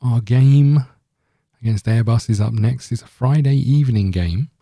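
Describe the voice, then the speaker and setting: A man's voice: mid-range voice, moderate pace, warm tone, no echo, studio quality. A man hosting a gaming video, giving casual let's-play commentary in a rambling, thinking-aloud way.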